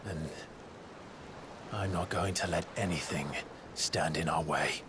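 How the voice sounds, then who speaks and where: An actor playing a brooding, determined rebel leader in a video game, solemn and measured. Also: male voice, sits low, fairly even intonation, noisy background. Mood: grave resolve. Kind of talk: dramatic video game cutscene acting. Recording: cinematic music behind the voice.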